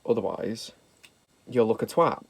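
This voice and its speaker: A man's voice: on the low side, unhurried, creaky, breathy edge, no room sound. British lad with a bit of a sniffle, kinda nasal, camp and swears a lot doing his makeup. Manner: sweary, camp and self-mocking. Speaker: makeup vlogger. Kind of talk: casual makeup tutorial chat.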